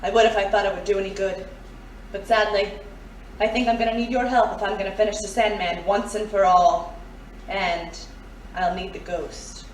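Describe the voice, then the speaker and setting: A woman acting a tough underworld dame of a radio play, controlled and sardonic, with menace under every line. She has a mid-pitched voice in a roomy, slightly noisy recording. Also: fairly even intonation, moderate pace, close to an everyday voice. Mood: hard, resolute. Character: cool, hard-boiled, threatening underworld woman. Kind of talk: audio drama acting. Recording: roomy, distant, faint noise.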